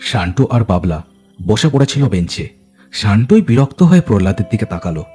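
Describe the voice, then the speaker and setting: Deep-voiced man narrating a ghost story. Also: big pitch swings, fairly quick, a little mellow, faint music behind. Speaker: narrator of an audio horror story. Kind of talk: dramatic scripted Bengali ghost story narration.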